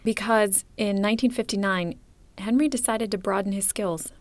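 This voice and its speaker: A woman hosting a podcast, reading scripted documentary narration in a clear, measured storytelling manner. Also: mid-range voice, steady tone, no echo. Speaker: podcast host.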